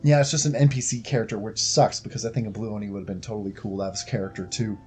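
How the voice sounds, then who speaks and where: A man's voice: fairly low pitch, steady tone, rapid-fire, a little mellow. A man giving casual, chatty commentary while he plays a video game. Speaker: gamer playing and commenting. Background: game music.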